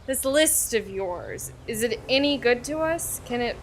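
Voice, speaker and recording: A woman's voice: a little high, expressive, unhurried, a little hiss or hum. A woman playing a plucky, determined young girl in an audio play, speaking in an earnest, direct way.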